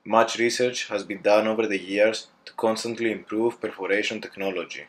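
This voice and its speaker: A young man reads a student engineering presentation. His voice sits low and stays flat, and he takes each phrase carefully, as if reading from notes. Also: normal speed, slight background noise. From Greece. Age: young adult. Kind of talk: scripted class presentation.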